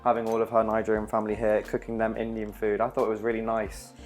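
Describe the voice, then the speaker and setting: Husky young man, joking around. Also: lowish voice, monotone, fast talker, faint music behind. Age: young adult. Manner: jokey and teasing, laughs often. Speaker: vlogger cooking with his mum. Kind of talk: casual chat while cooking.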